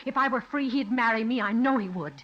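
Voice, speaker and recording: An actress playing a cold, calculating wife, composed and deliberate, in an old-time radio drama. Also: female voice, fairly high pitch, highs cut off, natural voice. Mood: dreamy, cold. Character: cold, calculating, lovestruck wife scheming to be widowed.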